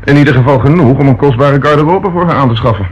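A man's voice: medium pitch, quick pace, slightly rough, close to an everyday voice. A man acting a persistent, courteous police inspector in a Dutch radio play, questioning formally and politely. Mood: pressing. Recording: dull, faintly noisy old radio recording.

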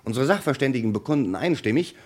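A man's voice: mid-range voice, animated, fast, close to an everyday voice. A man playing an insistent, methodical police commissioner in a German radio crime play, speaking precisely and emphatically. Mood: insistent, assured.

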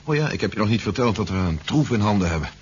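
A steady, commanding general in a seventies sci-fi radio play, acted by a man. His voice is low and measured, with fatherly authority. The recording is dull with a faint noise under it. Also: lightly acted. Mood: calm, reassuring. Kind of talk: 1970s Dutch science fiction radio drama acting.

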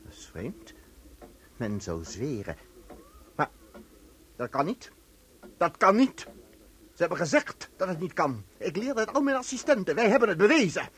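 An actor playing an officious, erratic inspector of lost souls in an absurdist radio play, lurching between soothing and bullying. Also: male voice, sits high, big pitch swings, normal speed, long pauses, fairly bright tone, slightly rough, exaggerated voice. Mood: agitated lecturing.

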